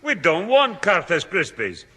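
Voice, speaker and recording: A man playing a grumbling, excitable old grandfather in a 1960s radio sitcom, with a husky voice. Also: high-pitched, unhurried, exaggerated voice. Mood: sceptical, blustering. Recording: reverberant old radio recording.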